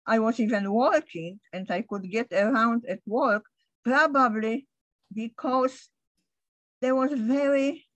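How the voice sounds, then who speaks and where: Brief: older Polish woman, English not her first language, slightly high voice, halting recollection, dry close sound. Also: a bit bright, no room sound, low-quality recording. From Poland. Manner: halting, restarts often, light laughs. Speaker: interviewee. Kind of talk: recalling her past in conversation.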